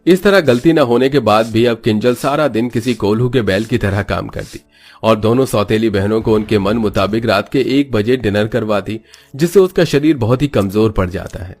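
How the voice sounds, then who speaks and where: A man's voice: fairly low pitch, normal speed, a little mellow. A man narrating a scripted moral story as a storyteller. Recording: music bed behind voice.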